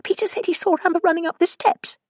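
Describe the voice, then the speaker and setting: A woman's voice: extremely high pitch, steady tone, fast talker, dry recording, pitched above the performer's own voice. Woman doing a sobbing, frightened young woman. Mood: frightened, tearful. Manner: breathless, sobbing, near hysterical.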